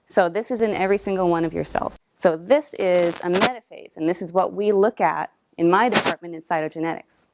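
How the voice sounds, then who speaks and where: A woman's voice: on the low side, a little fast, hardly any pauses, heavy background noise. A young adult woman, a lab technologist, giving a friendly, explanatory talk about her work and background, with frequent ums. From New England and Southern California. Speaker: guest speaker, cytogenetic technologist. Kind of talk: presentation talk with slides.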